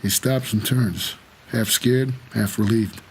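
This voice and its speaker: An American actor playing a weary, guarded supernatural driver who narrates an audio drama in terse, measured noir style. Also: male voice, lowish voice, slight background noise, rough recording. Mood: brooding, measured.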